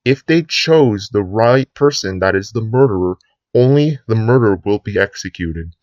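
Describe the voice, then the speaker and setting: A man playing a sinister US president who gives a grave, deliberate address to the nation in an emergency broadcast drama. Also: on the low side, expressive, darkish tone, no room sound, highs cut off, natural voice. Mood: grave, menacing. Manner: grave, deliberate speechmaking with drawn-out ominous phrases.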